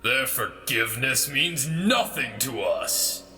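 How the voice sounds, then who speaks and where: A man voicing the ghost of a murdered king who taunts with slow, cold menace in an audio drama. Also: mid-range voice, animated, sharp tone, soft background music, full character voice. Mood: taunting.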